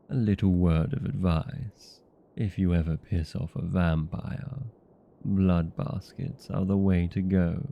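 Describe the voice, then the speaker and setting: A man playing a chatty, petty, century-old vampire in a comedic audio roleplay, talking in a wry, conversational, mildly complaining way with drawn-out words. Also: sits low, vocal fry, highs cut off, heavily acted. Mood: wry, gossipy. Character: chatty, petty, 127-year-old male vampire being interviewed.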